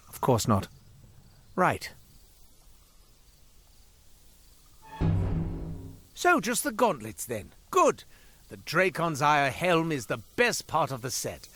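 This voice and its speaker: An actor playing a beleaguered, sardonic ex-thief shopkeeper in a video game, rambling theatrically with exasperated sarcasm. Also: male voice, medium pitch, fairly bright tone, exaggerated voice. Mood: grudging sarcasm, then flustered, reluctant. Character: beleaguered, sardonic, cursed former thief turned quartermaster shopkeeper. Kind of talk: theatrical scripted character dialogue.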